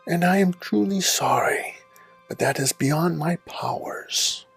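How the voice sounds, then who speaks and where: Man as a creaky, haughty, stern master. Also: a little high, fairly bright tone, faint music behind, heavily acted. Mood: haughty, grudging. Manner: formal, haughty, measured. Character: stern, imperious parent and master taking an apprentice. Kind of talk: audio drama character acting.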